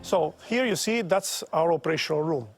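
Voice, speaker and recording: A man with a slightly high voice explains his workplace in unscripted speech. He is deliberate, often repeating a phrase before completing it. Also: faint music behind. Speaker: director of network management.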